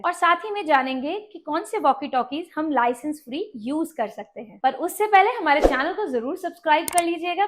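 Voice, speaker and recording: High-pitched woman presenting an explainer. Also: fairly bright tone. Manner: instructional, addresses viewers with questions and answers. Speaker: video host and presenter. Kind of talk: explainer video to camera, Hindi mixed with English terms.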